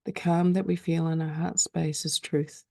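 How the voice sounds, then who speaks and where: A woman guides a meditation in a calm, soothing manner. Her voice is mellow, with a heavy creak at its edges, and it sits very close and dry. She leaves frequent pauses between phrases. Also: deep voice, no echo.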